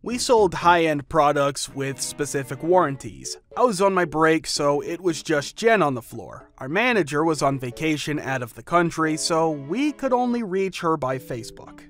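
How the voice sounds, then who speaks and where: Man narrating workplace stories, fairly high. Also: animated, moderate pace, hardly any pauses, fairly bright tone. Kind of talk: scripted narration of first-person workplace stories. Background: faint background music.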